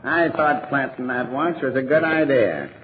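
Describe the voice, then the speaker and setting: A man's voice: mid-range voice, expressive, normal speed, phone-quality sound, natural voice. An actor playing a smug, menacing gang boss who taunts like a tough guy in a 1940s radio drama. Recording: band-limited old-time radio recording.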